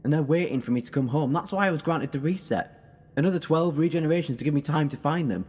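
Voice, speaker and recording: A man's voice: on the high side, quick pace, a little mellow, breathy edge. A man acting in an audio drama as an intense, protective, heroic time-travelling alien. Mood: earnest. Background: music.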